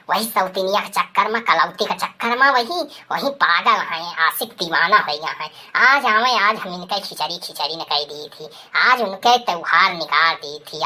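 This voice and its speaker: A woman's voice: medium pitch, pushed and bright. A woman voicing a dramatic, scolding village woman with an Awadhi accent in a rural cartoon comedy. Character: loud village women, scandalized gossips and an angry betrayed wife.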